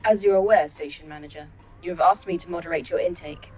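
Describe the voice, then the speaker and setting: A woman playing a formal, polite artificial intelligence in an audio drama, measured and precise. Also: on the low side, darkish tone, natural voice. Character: calm, formal, superior artificial intelligence computer. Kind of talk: audio fiction podcast acting.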